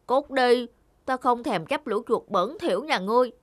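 A man voicing a grumpy but kindly male cat in a cartoon fable, speaking in a gruff, firm manner. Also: very high voice, lively intonation, moderate pace, no room sound. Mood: gruff, scornful. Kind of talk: animated moral fable dubbing. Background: music.

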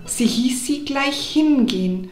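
A woman narrating a scripted children's fairy tale deliberately, pausing between phrases. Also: sits high, expressive, a little mellow, reverberant, soft background music. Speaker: storyteller.

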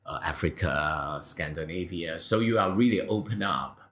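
Very low-voiced Hong Kong man. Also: normal speed, fairly bright tone. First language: Cantonese. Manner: thoughtful, with frequent fillers and restarts. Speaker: film director, guest. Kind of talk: casual interview talk.

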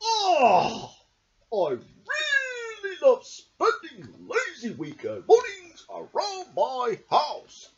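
A reader doing a slow, exasperated bear. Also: high-pitched, huge pitch swings, heavily acted. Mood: contented. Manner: exasperated, drawn-out, groaning and sighing. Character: grumpy, weary bear who craves solitude. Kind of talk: picture book read-aloud character voice. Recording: dull lo-fi home recording.

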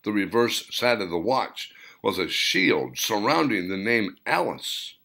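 Male author-narrator, low-pitched and very animated, dramatic audiobook delivery in deliberate short phrases. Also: creaky. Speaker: author reading own novel.

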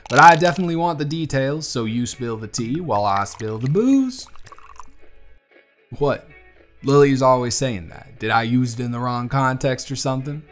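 Male actor as young bartender, Southern US accent, medium pitch, folksy, teasing, earnest, affectionate, romantic audio drama, faint music. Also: a bit bright, natural voice. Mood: playful, fond. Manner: folksy, playful, affectionate drawl. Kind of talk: romantic audio roleplay, speaking to the listener.

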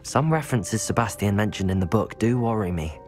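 A man plays a young wizard hero in a video game, measured and sympathetic. His voice is fairly low and calm, with music in the background. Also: lightly acted. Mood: concerned. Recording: music under the voice.

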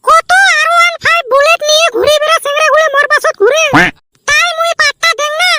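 A woman voicing a vain, sharp-tongued village girl in a comic cartoon, with a squeaky pitch-shifted voice and a Rangpuri accent. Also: steady tone, fairly quick, very noisy. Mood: scornful. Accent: northern Bengal Rangpuri. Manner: mocking, dismissive.

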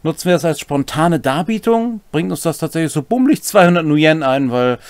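A man's voice: a little high, animated, a little fast, a little mellow, dry recording, clean studio sound. A middle-aged man casually explains game rules, adding wry, colloquial asides. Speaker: host of a tabletop role-playing rules series. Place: small furnished room at home, indoors.

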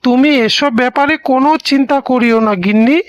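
A man's voice: very high-pitched, fairly quick, clean tone, no room sound, highs cut off. An actor voicing a trusting young husband in a cartoon, speaking earnestly and reassuring his wife. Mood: scheming. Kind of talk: dubbed cartoon voice acting.

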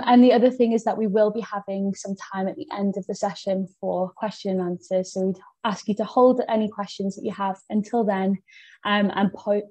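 A woman's voice: mid-range voice, dark tone, no echo. A young British woman from the UK presenting an education webinar over a video call, upbeat and friendly, with frequent fillers. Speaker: webinar host and education advisor.

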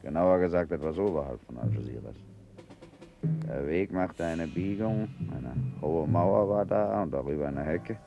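A man's voice: rumbling low, moderate pace, gravelly, dull audio, over music. An actor playing an embittered, world-weary sick sailor in a German radio play, sardonic and brooding as he talks. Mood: brooding, reminiscing.

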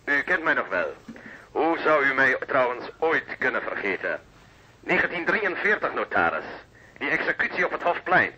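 A coolly menacing German-accented stranger on the phone. His very sharp voice swings in pitch through a thin telephone sound, in radio-play style. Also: sing-song, normal speed, husky, highs cut off, heavily acted. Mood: menacing politeness. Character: menacing, coolly polite mystery caller from the past. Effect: telephone filter. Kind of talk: Dutch radio drama acting.

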